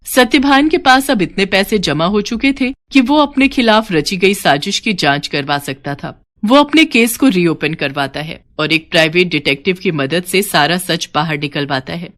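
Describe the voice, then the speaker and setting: Expressive woman storyteller, lively pitch. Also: normal speed, few pauses. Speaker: story narrator. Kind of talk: scripted Hindi moral-story narration.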